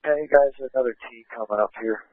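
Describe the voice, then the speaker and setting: A male police officer calling a vehicle pursuit over police radio in halting, clipped location updates. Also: mid-range voice, no room sound. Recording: narrow-band police scanner radio.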